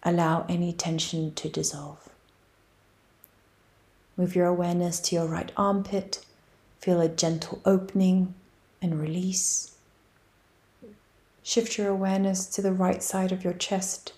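A woman guiding a yoga nidra meditation, speaking softly and evenly with long pauses. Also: on the low side. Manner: slow, soft and even, with long pauses. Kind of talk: guided meditation.

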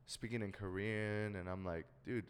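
Young male co-host, low clear voice, slangy filler-heavy chat; long room reverb. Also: steady tone, moderate pace. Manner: slangy, filler-heavy, says "dude" and "you know what I mean". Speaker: podcast co-host. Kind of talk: casual podcast chat.

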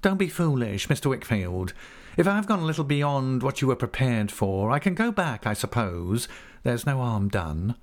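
A man's voice: mid-range voice, big pitch swings, a little slow, voice pushed up. A man voicing a sulky, falsely humble schemer in a story, whining and insinuating with veiled threat. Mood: sulky, defeated.

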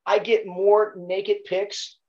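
A man's voice: high voice, flat delivery, takes it slowly, darkish tone, lo-fi sound. A middle-aged man with a Baltimore accent, a podcast guest telling laid-back, profane stories in casual chat. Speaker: podcast guest, former Navy SEAL.

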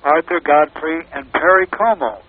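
A man's voice: fairly high pitch, lively intonation, moderate pace. A man reminiscing in casual chat, hesitant and often searching for words. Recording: noisy, tinny telephone-like line.

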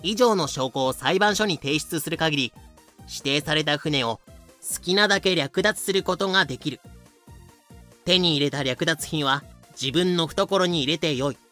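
A man's voice: on the high side, moderate pace, bright, forceful tone, faint music behind. A man reading scripted comedic narration for a voice drama, explaining things with dry, sarcastic asides.

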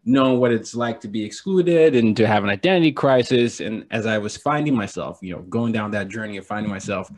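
A man's voice: on the low side, fairly quick, hardly any pauses. A man from Nigeria, a podcast guest telling stories in a playful, joking way and laughing often. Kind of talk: casual storytelling interview.

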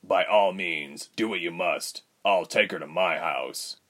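Man playing a grave, weary, low-voiced father. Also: a little slow, fairly bright tone. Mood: reassuring.

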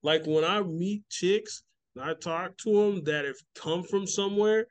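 Man, high voice, casual podcast talk, swears freely, animated storyteller. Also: a little slow. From California. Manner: profane, storytelling with acted-out quotes. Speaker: podcast co-host. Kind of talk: casual chat.

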